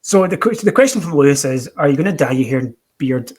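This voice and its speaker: Male podcast host, conversational and bantering, running a relaxed question session with guests. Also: a little high, fast talker, husky. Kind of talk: casual chat, putting listener questions to guests.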